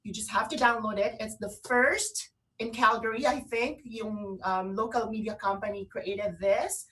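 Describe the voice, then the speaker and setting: A woman with a Filipino accent co-hosting an online radio show, chatting casually and promotionally in an excitable, gushing way. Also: a little high, moderate pace, recorded from a distance, rough recording. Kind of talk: casual promotional chat between co-hosts.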